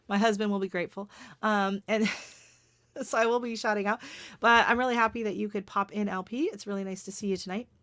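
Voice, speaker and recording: A middle-aged Canadian woman hosting a casual livestream chat, laughing often and greeting viewers by name, her voice starting to go hoarse. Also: mid-range voice, fairly even intonation, moderate pace, pauses often, a bit bright, no room sound. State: voice going hoarse. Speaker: gardening channel host.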